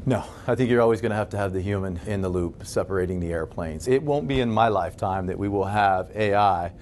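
Training official, male, American, deep register, fast interview delivery. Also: darkish tone, slight background noise. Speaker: air traffic training official. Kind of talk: interview answers.

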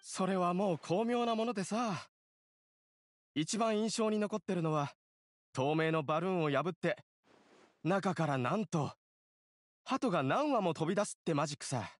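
High-voiced, talkative young townsman. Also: lively intonation, normal speed, long pauses, slight background noise. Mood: admiring, confident. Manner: casual, assertive, storytelling. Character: young townsman, talkative, confident admirer of a magician. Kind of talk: anime game voice acting.

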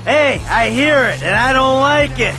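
Fed-up middle-aged dad, shouting high and slow, game. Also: male voice, animated. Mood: exasperated. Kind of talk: video game cutscene acting. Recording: music behind the voice.

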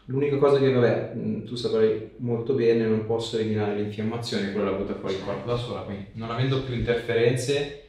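Low-voiced man in a very echoey room. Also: fairly even intonation, fairly quick, a little mellow. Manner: short, informal directions. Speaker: chiropractor. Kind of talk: casual instructions to a patient during treatment. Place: small treatment room, indoors. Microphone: camera a few metres away. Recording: very echoey room, distant mic, faint noise.

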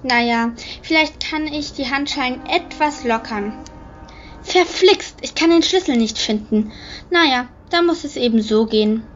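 A woman playing a brisk, practical police inspector in a home-recorded audio drama. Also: high voice, a little hiss or hum. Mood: brisk, businesslike. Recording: slightly muffled home recording.

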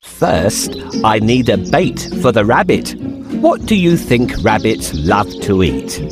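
Male actor as clever fairy-tale cat hero: courtly, scheming, swooping intonation, slow deliberate lines, music behind. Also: fairly low pitch, sing-song. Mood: scheming, confident. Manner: theatrical, polite, deliberate. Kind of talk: children's storybook character acting.

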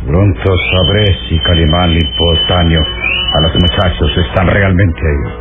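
A man's voice: low-pitched, fairly even intonation, a little slow. An actor playing a menacing male villain, an assassin leader in an old radio serial. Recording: muffled vintage radio, loud music behind.

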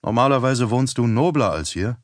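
A man's voice: medium pitch, expressive, normal speed, no echo, pitched above the performer's own voice, natural voice. A man voicing a reserved male hitman who speaks with dry, guarded politeness. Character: quiet, guarded male hitman, war veteran.

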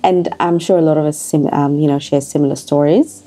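Host: woman, deep mellow voice, studio talk show interviewer, affirming, faint music under. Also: fairly even intonation, a little fast. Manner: warm formal welcomes, frequent affirming interjections. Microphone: table microphone.

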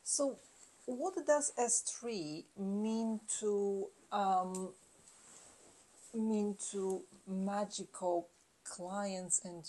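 A woman's voice: medium pitch, warm tone, poor audio. A woman asking conversational interview questions, hesitant and searching for words. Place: carpeted listening room, indoors.

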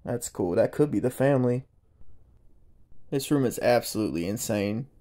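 A young man, narrating a casual, excited walkthrough of an abandoned house. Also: medium pitch, moderate pace. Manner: excited, marveling, chatty. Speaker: urban explorer vlogger. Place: inside an abandoned old house.

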